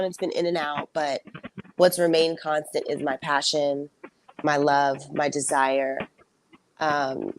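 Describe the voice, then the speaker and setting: Low-voiced, soft-spoken young woman. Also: slight background noise. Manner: soft-spoken, reflective, many fillers. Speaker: singer and songwriter guest. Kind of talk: casual interview storytelling.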